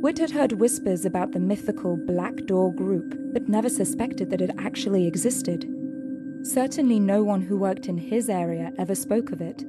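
A woman with a mid-pitched voice narrates a scripted fiction story. Her delivery is measured and descriptive, with music clearly present behind her. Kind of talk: scripted audio drama narration.

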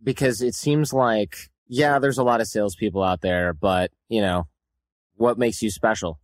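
A man hosting a podcast, reading a brisk, upbeat promo and interviewing a guest. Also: fairly low pitch, no echo, studio quality. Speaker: podcast host. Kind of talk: scripted promo read and interview questions.

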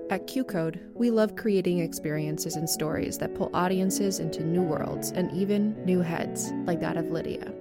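Female podcast ad reader, lower-mid register, scripted sponsor copy, clear music bed. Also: warm tone. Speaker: podcast presenter reading sponsor message. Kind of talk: scripted ad read.

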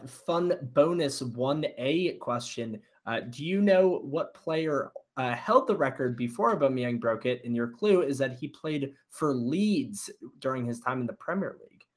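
A man in a relaxed podcast chat, running a quiz segment with an upbeat, encouraging manner. His pitch sits in an ordinary middle range, and he keeps things light as he reads out questions and hands out hints. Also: unhurried. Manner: upbeat, encouraging, gives clues. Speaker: quiz host.

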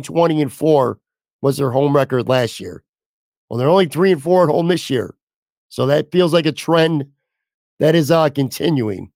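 A man hosting a solo sports podcast, hoarse as he loses his voice, blunt and casual with some swearing. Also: fairly high pitch, a little mellow, dry recording, studio quality. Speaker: podcast host.

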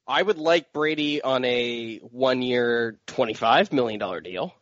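A man's voice: mid-range voice, unhurried, fairly bright tone, dry recording. A man co-hosting a sports podcast, arguing his points animatedly. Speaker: podcast co-host. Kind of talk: casual sports talk. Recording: dry lo-fi podcast mic.

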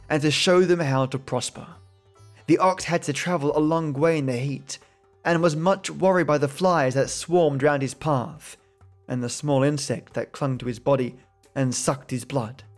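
A man steadily reading folk tales aloud as a podcast host. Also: a little high. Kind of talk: storytelling narration.

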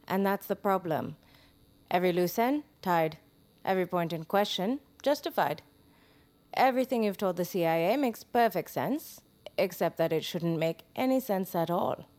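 A woman acting a composed, doubting government interrogator in an audio drama, deliberate, controlled and precise. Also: lowish voice, lively intonation, a little mellow, lightly acted. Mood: doubting, firm.

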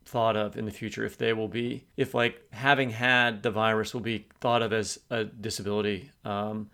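A man's voice: lowish voice, little pitch movement, normal speed, sharp tone. A man co-hosting an interview, posing a question in a casual, musing way. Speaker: podcast co-host interviewer.

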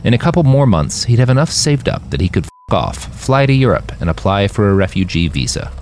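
A man reading scripted fiction narration in an expressive, dramatic storytelling manner. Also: on the low side, a little fast, a little hiss or hum. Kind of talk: scripted audiobook-style narration of a dystopian war novel.

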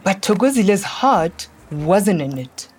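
A woman narrating a scripted children's story in a measured, deliberate way, pausing between phrases. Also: mid-range voice, very animated, a little mellow, a little hiss or hum. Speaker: podcast narrator. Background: music.

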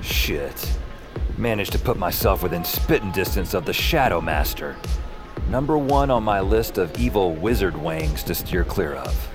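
An actor playing a wry, tipsy, hard-boiled antihero who narrates an audio drama with sardonic, muttered asides. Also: male voice, low voice, sing-song, unhurried. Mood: sardonic, uneasy. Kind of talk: first-person noir narration and dialogue, audio fiction. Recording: music behind the voice.

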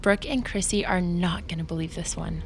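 A woman's voice: fairly low pitch, normal speed, faint music behind, lightly acted. An actress playing a smug, scornful young woman, a former popular mean girl, in an audio drama with sarcastic, sneering delivery. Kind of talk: audio drama acting, contemporary dialogue.

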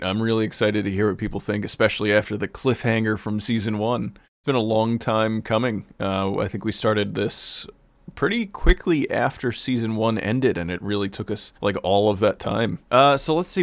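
Low, creaky-voiced man, casual podcast producer. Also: moderate pace, no echo. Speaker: podcast producer and sound designer. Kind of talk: casual behind-the-scenes chat.

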